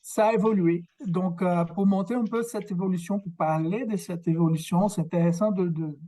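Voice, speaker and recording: A man from Brazil with a Québécois French accent and Portuguese as his first language, giving a workshop lecture over a video call, halting and searching for words. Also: sits high, steady tone, mellow. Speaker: guest lecturer, researcher. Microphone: video call microphone.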